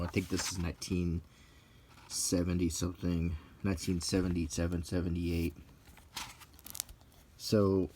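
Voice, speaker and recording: A middle-aged man talking casually and unscripted in an unhurried, musing way with frequent pauses, like an unintentional ASMR video. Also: low-pitched, darkish tone, slight background noise. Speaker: channel host.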